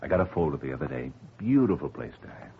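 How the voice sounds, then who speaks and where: A man playing a smooth middle-aged lawyer in a 1950 radio drama, genial and chatty, quietly scheming. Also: a bass voice, sing-song, fast, heavily acted. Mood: tender. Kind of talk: 1940s radio acting. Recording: tinny faint-hiss old radio recording.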